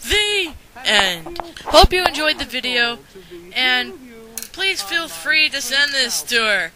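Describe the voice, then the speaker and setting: A young woman giving blunt, unscripted critical commentary in an animated, ranting way. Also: sits high, takes it slowly, poor audio. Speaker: commentary video host. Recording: music playing behind the voice.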